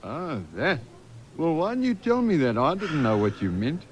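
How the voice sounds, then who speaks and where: Dopey male shop assistant, South African, high-pitched, oblivious, slangy. Radio comedy. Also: big pitch swings. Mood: unbothered. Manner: slow-witted, slangy, unbothered. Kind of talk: 1970s radio comedy sketch acting.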